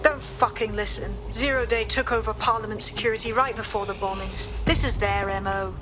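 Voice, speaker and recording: A woman's voice: mid-range voice, steady tone, a little fast, background noise, lightly acted. A woman playing a tough, wary resistance operative in a video game, blunt and urgent. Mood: urgent warning.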